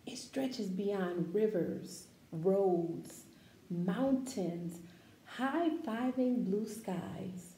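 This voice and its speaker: A woman reading a picture book aloud for children's story time, expressive and deliberate, with casual asides and questions to young listeners. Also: medium pitch. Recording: roomy echo, faint background noise.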